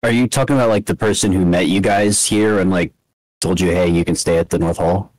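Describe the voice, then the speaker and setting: A man's voice: low-pitched, steady tone, brisk, a little mellow, no room sound, low-quality recording. A man running a tabletop game session as game master, hesitant, with frequent ums.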